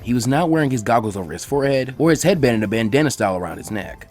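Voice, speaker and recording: Animated, quick-reading male storyteller. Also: medium pitch. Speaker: fan fiction reader. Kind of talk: expressive scripted story narration. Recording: faint music behind the voice. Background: faint background music.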